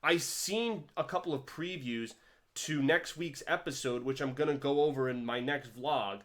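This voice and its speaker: A man giving a casual commentary vlog, rambling with many restarts and swinging his pitch and loudness animatedly. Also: moderate pace. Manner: rambling, with frequent restarts and stumbles. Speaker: vlogger reviewing a TV series.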